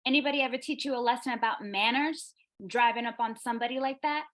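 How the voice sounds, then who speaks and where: Actress as spirited young forties woman, high voice, pert, hopeful, staged script read. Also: fast, a bit bright, close to an everyday voice. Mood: sassy. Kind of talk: live screenplay table read.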